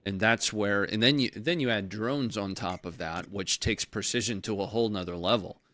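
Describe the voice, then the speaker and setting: Low-voiced man, halting analytical host. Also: a bit bright. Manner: halting, many "you know" and restarts. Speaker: interview host. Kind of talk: casual interview talk.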